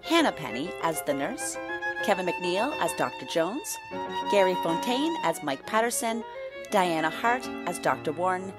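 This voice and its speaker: A woman's voice: on the low side, wildly swooping pitch, unhurried. A woman announcer reading scripted credits and a sign-off for an audio theatre production. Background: violin music.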